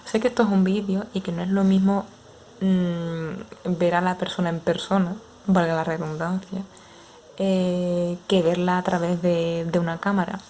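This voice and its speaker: A young woman in her twenties with an Andalusian accent, answering viewers' questions in a relaxed, hesitant way with many fillers. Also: lowish voice, a little hiss or hum. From Andalusia, Spain. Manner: unhurried, hesitant with frequent fillers. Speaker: ASMR creator. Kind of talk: casual Q&A chat with viewers.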